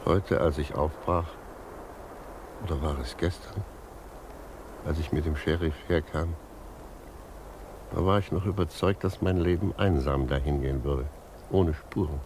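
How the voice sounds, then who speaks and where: An actor playing a gentle, philosophical old judge in a 1950s German radio play, reflective and earnest in manner. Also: male voice, rumbling low, measured pace, long pauses. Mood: wistful. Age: older. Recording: 1950s radio recording, faint noise.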